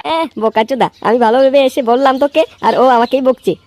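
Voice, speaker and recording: A woman voicing a gossipy, foul-mouthed young man in a comedy cartoon, tattling in a crude, excitable way. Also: high-pitched, fast, no room sound, natural voice. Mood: annoyed, insistent. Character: gossipy, foul-mouthed bald young male club friend.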